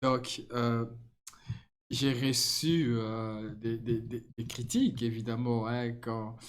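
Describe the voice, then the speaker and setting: A middle-aged man, not a native French speaker, chatting casually to his viewers with frequent laughs and halting restarts. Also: medium pitch, level tone. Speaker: channel host.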